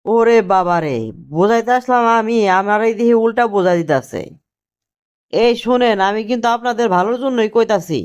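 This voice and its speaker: Man playing naive young cartoon party lackey, Bangladeshi accent, very high voice, quick protesting talk. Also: clean tone, highs cut off, natural voice. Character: eager, naive young male political party errand boy. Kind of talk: cartoon dialogue.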